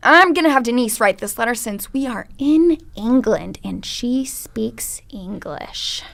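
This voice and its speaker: An actress playing a dry, casual woman traveler with a deadpan manner in an improvised radio comedy. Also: a little high, measured pace, creaky, no echo, exaggerated voice.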